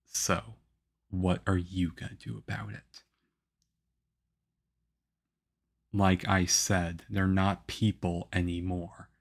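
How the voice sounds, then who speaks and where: Male actor as aloof young swordsman mentor for ASMR roleplay: stern, flat, unhurried delivery, measured and harsh. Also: deep voice, vocal fry, full character voice. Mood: stern, scolding. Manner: harsh, measured, deadpan, with grudging praise. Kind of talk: ASMR roleplay acting addressed to the listener.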